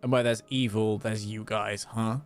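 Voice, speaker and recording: Game sidekick, read by a man: tiny excitable female, questioning, exclamatory, music underneath. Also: fairly low pitch, normal speed, close to an everyday voice. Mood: accusing. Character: small, excitable, inquisitive female floating companion.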